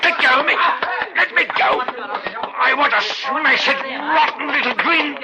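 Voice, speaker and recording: An actor playing an agitated, embittered assault victim burning for revenge, halting and then bursting out, in an old-time radio drama. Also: male voice, very high voice, heavy background noise. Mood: hysterical rage. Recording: muffled old radio broadcast.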